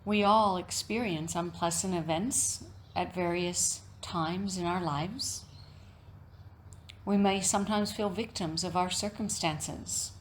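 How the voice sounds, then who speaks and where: A woman teaching yoga nidra, giving a reflective guided meditation talk in measured, deliberate phrases with frequent pauses. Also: lowish voice, a little hiss or hum.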